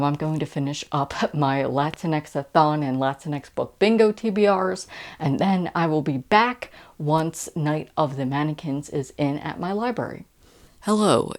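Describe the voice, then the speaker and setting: She is an American woman with a noticeably low voice for a female speaker. She talks casually to viewers like a vlogger sharing reading plans, with an eager, enthusiastic manner. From United States. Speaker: book vlogger. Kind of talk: casual chat to camera.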